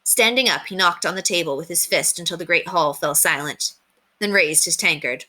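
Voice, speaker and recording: A woman reading a children's book aloud as an expressive storyteller. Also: lowish voice, normal speed.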